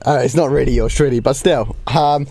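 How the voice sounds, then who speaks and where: A man, a bit hoarse from a starting cold, easily answering viewer questions on a live game stream. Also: mid-range voice, brisk, mellow, soft background music. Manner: chatty, easygoing, with frequent ums and light laughs.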